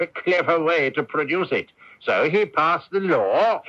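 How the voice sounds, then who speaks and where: A fussy ambitious barrister, high n animated, theatrical, old muffled radio drama. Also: male voice, heavily acted. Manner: pedantic, hedging, theatrical. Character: fussy, ambitious, evasive barrister explaining law. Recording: dull 1980s AM radio recording.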